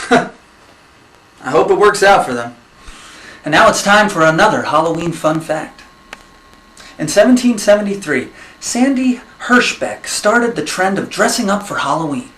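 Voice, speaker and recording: A man hosting a comedy special, presenting mock fun facts with an awkward, halting manner. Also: high-pitched, expressive, fairly bright tone. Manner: awkward, halting between segments. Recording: echoey room with faint noise.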